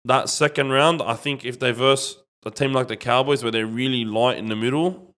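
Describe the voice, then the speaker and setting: A man's voice: mid-range voice, steady tone, moderate pace, fairly bright tone. Australian man, podcast panellist, casual sports banter, slangy, opinionated, repeats himself. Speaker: rugby league podcast panellist.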